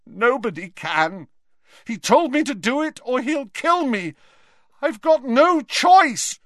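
A man voicing a desperate, sneering teenage boy wizard who talks harshly, his voice shaky and breaking upward into shouts. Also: medium pitch, big pitch swings, moderate pace, dry recording, clean studio sound, pitched above the performer's own voice.

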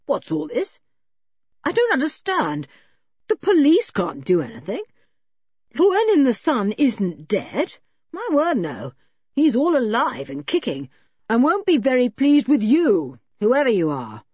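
A woman voicing a gruff, angry, defensive working man in an audiobook, with emphatic rustic turns of phrase. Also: on the high side, wildly swooping pitch, a little slow, a little mellow, no room sound, highs cut off, voice pushed up, full character voice. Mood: blustering. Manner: rural turns of phrase, repeats tags for emphasis. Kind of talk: audiobook character voice.

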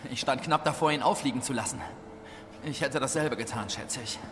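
An actor playing a sardonic, desperate prisoner with a coarse mouth in an audio drama. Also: male voice, medium pitch, fairly quick, background noise, natural voice. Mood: bitter, bargaining. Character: cynical, desperate jailed male informant. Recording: long hall reverb, loud music bed.

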